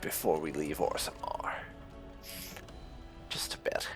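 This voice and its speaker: A man giving casual let's play commentary as a gaming channel host, halting and dry in delivery. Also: very deep voice, steady tone, music in the background.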